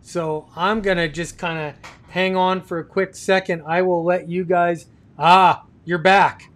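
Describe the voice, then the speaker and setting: High-pitched, slow-talking middle-aged man hosting. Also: little pitch movement, a bit bright. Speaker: live show host. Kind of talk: casual live video chat with guests. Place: small home office, indoors.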